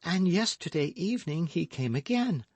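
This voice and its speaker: A man's voice: a little high, big pitch swings, normal speed, a little mellow, dry recording, pitched above the performer's own voice. A man voicing a frightened, lovestruck young maidservant in an audiobook, with a pleading, devoted manner. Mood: anxious. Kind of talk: audiobook character acting.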